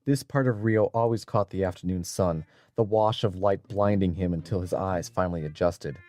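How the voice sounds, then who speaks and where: A man's voice: low-pitched, normal speed, mellow. A man narrating a dramatic audiobook from a script as a storyteller.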